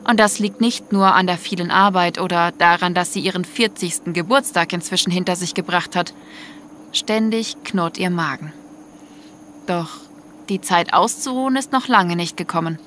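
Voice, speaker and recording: Female narrator for a science-fiction audio story, mid-pitched, faint music underneath.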